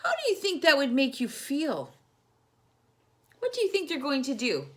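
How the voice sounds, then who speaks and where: A woman reading a picture book as a storytime host, animated and addressing young children directly with questions. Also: sits high, moderate pace.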